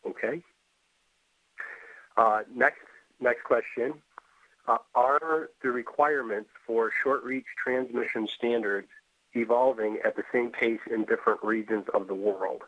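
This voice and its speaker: A man's voice: mid-range voice, fairly even intonation, measured pace, no echo. A man moderating a webinar, politely reading out questions and announcements in a businesslike way over a tinny phone connection. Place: remote call.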